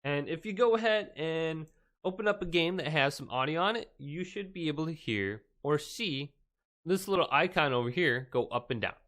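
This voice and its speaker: A man's voice: on the high side, lively intonation, moderate pace, a bit bright. A man presenting a casual step-by-step tech tutorial in an upbeat, emphatic presenter's delivery. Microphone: Blue Yeti desktop microphone.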